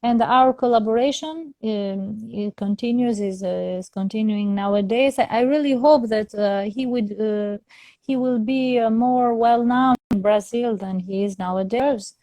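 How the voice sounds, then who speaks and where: A woman's voice: a little high, unhurried, mellow, no room sound. A woman, a soprano opera singer, speaking English as a second language in a casual interview, hesitant, with many fillers and restarts. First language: not English.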